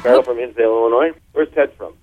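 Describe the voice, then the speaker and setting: A man's voice: medium pitch, fairly even intonation, fast talker, dull audio, music in the background. A man with a Chicago accent, a musician guest on a radio interview, telling rambling anecdotes. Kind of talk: radio phone interview, storytelling.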